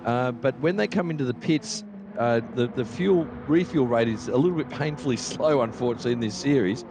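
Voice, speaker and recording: A man's voice: mid-range voice, fairly quick. South African man commentating a race. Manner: chatty, analytical, stumbles into restarts. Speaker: sim racing co-commentator. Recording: steady background noise.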